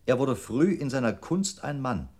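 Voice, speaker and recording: An actor playing an obedient computer unit in a radio play, reciting in a formal, measured and exact way. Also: male voice, mid-range voice, sing-song, darkish tone, husky.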